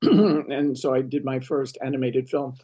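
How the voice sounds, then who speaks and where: A man's voice: mid-range voice, fairly even intonation, a little slow, dark tone, rough recording. A man speaking casually and conversationally as he conducts an interview.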